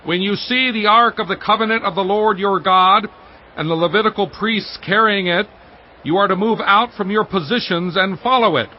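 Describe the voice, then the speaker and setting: A man's voice: high voice, moderate pace, natural voice. An actor playing an authoritative officer giving orders to a camp in a dramatized audio Bible, projecting his words. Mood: commanding. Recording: slightly dull audio with background ambience.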